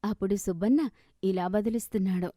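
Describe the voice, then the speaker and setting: Female storyteller for scripted moral tales, medium pitch, dry studio-like sound. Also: steady tone, fairly quick, mellow, dry recording. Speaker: story narrator.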